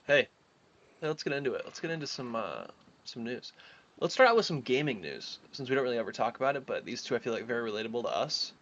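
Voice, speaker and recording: A man's voice: mid-range voice, big pitch swings, fast, fairly bright tone. A young adult man co-hosting a podcast, chatting easily and steering the talk into the news segment. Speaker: podcast co-host. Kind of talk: casual podcast chat. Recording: slightly dull, lo-fi mic.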